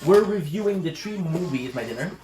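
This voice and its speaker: A man's voice: on the high side, animated, brisk, dark tone. Rambling male vlogger. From Montreal, Canada. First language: French. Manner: rambling, stammering restarts, swears casually. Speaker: channel host. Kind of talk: casual rambling vlog chat.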